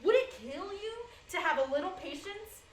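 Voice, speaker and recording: A woman's voice: extremely high pitch, expressive, normal speed. A woman acting a domineering, belittling character in a dramatic monologue, with a scornful, condescending and theatrically emphatic delivery. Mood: scornful, furious. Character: domineering, belittling woman berating a man she controls. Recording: roomy echo, faint background noise.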